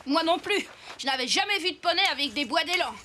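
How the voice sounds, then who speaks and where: A woman's voice: very high voice, animated, fast, bright, forceful tone, slight background noise, exaggerated voice. A woman voicing an impulsive, doubting little boy in a French cartoon dub. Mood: amused.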